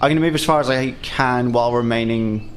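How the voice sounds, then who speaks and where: A man's voice: mid-range voice, fast talker. Man gaming over noisy ambience. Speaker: tabletop game player. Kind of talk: casual game chat. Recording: clearly noisy background ambience.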